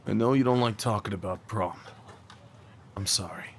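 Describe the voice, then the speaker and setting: A man acting a young small-town guy, an awkward and tender old friend, in a casual, halting, intimate audio roleplay. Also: lowish voice, slight background noise, natural voice. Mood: fond, nostalgic. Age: young adult. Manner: halting, stammering, slangy, chuckling.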